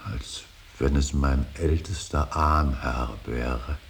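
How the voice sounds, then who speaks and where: An extremely deep-voiced older father broods over his grief in a solemn radio drama. He declaims very slowly and haltingly, weighing each phrase and often sinking into a breathy whisper. There is a slight hiss on the recording. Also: fairly even intonation, heavily acted. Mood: broken, brooding. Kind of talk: German radio drama acting, solemn monologue. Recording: hissy, faintly noisy radio recording.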